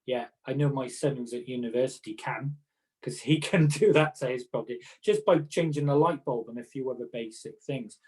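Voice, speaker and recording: A man's voice: medium pitch, fairly quick, darkish tone. A middle-aged man with a Welsh accent giving informal, explanatory advice to fellow professionals as an occupational therapist, chatting through practical examples. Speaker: occupational therapist and conference speaker.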